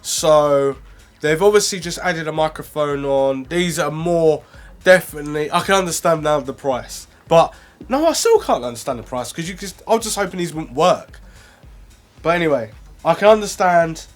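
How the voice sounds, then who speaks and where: A man's voice: a little high, animated, moderate pace. A young man reviewing earphones in a casual, rambling way, full of fillers and restarts. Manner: rambling, self-correcting, lots of fillers. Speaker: product reviewer.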